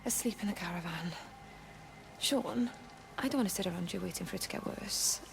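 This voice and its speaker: A woman's voice: fairly low pitch, brisk, creaky, slight background noise. An actress playing an anxious, practical young mother in a naturalistic video game drama. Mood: anxious, urgent.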